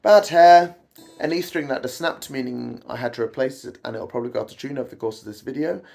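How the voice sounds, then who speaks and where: A man talks through a guitar lesson, his voice croaky from a cold coming on. He keeps it chatty and wry, with dry self-mocking asides between the chord shapes, while clear guitar plays behind him. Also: medium pitch, fast, a little mellow. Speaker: guitar teacher. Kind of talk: casual guitar tutorial.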